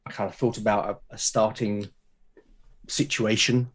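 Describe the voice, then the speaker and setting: A man's voice: fairly low pitch, level tone, moderate pace, breathy edge. A man chatting casually as a podcast guest, enthusiastic but hesitant, often repeating words as he goes. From Britain. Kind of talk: casual conversational interview.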